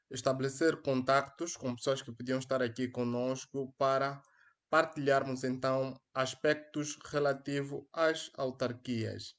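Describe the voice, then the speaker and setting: A young man with an Angolan accent, hosting a solo live stream in an earnest, hesitant way, with many fillers and restarts, speaking into a headset mic. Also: medium pitch. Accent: Angolan Portuguese. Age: young adult. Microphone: headphones with mic.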